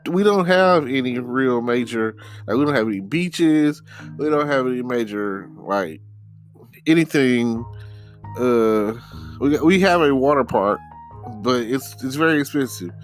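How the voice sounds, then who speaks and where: Guy from texarkana rambling on his podcast, medium pitch, stop and start, repeats himself, bit of music behind him. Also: animated. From Texarkana, United States. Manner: rambling, halting, repeats words, says "you know" often. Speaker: podcast host. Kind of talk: casual rambling solo podcast monologue.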